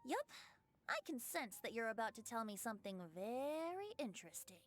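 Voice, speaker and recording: Cheeky boyish game bard, super lively high voice, bit of music behind. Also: female voice, moderate pace. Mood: playful. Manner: lilting, theatrical, cheery. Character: playful young male wind bard, breezy and teasing. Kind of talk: animated game dialogue.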